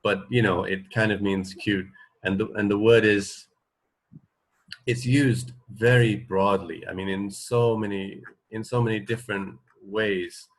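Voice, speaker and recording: A man in his forties chatting casually as a radio co-host, explaining things in a halting, rambling way that often trails off mid-thought. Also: on the low side, steady tone, rough recording. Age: 40s. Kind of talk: casual unscripted chat, explaining.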